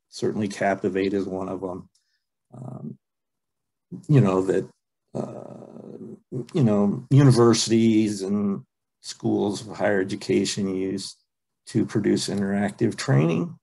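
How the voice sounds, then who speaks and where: Gravelly low-voiced man teaching a webinar. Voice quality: plain, unexciting voice. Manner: halting, relaxed, full of ums and uhs. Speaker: webinar presenter. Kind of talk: software training webinar. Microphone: computer mic on a video call.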